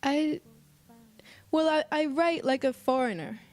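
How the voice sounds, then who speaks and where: An American woman speaking casually in an interview as a singer-songwriter, thoughtful and halting, often pausing mid-phrase. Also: high-pitched, a little mellow. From United States. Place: apartment.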